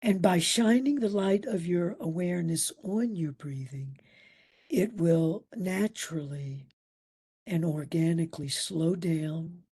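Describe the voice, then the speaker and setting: A woman leads a guided meditation in a soft-edged, breathy voice. Her delivery is very slow and soothing, with long pauses between short phrases, and her tone is dark and mellow. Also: lowish voice. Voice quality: breathy, at times near a whisper. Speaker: meditation instructor.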